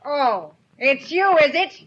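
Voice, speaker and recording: A high, piercing shrewish innkeeper's wife from 1930s radio drama. She bullies underlings and fawns on paying guests, all in a forceful tone on a dull vintage recording. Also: very animated, slow pace, faint music behind, heavily acted. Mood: scolding, harsh.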